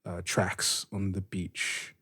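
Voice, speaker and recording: A man on a tabletop role-play podcast, improvising in character as a leader in measured, tentative phrases. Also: sits low, monotone, pushed and bright, breathy edge.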